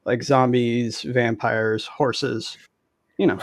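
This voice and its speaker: A man's voice: medium pitch, steady tone, slow pace, dry recording, clean studio sound. A man chatting casually and telling anecdotes as a guest game creator on a video call. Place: indoor room on a video call.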